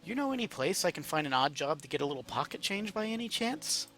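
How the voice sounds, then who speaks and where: A man's voice: on the high side, big pitch swings, fast, a bit bright. A man voicing a casual, friendly male hero in a read-aloud story. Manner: easygoing, informal.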